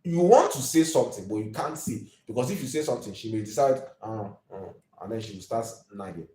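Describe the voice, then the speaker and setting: A man's voice: lowish voice, animated, a little fast, mellow, reverberant, a little hiss or hum, rough recording. A Nigerian man in his twenties hosting a live video, ranting emphatically and preachily and repeating his points. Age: 20s.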